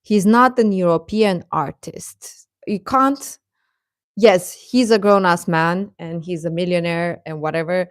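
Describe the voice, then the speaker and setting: A woman's voice: fairly low pitch, no room sound. Rambling woman livestreamer in a dry recording. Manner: rambling, halting, emphatic with repetitions. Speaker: livestream host. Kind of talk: casual fan commentary to live chat.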